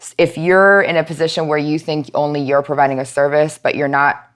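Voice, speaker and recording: A woman speaking as a podcast guest, giving thoughtful conversational answers and pausing mid-thought as she reflects. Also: low-pitched, level tone. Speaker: podcast guest, nonprofit outreach and education manager.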